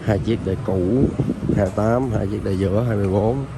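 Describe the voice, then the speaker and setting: A man's voice: on the low side, fast talker. A man casually listing motorbikes with fillers, outdoors in a city street. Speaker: vlogger. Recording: loud street noise.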